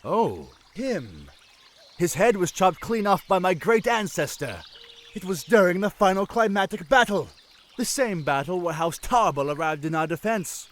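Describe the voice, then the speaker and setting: A man voicing a tall, excitable young fish-folk prince who tells tales in a grand, theatrical way, in a fan audio drama. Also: high voice, normal speed. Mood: eager. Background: music.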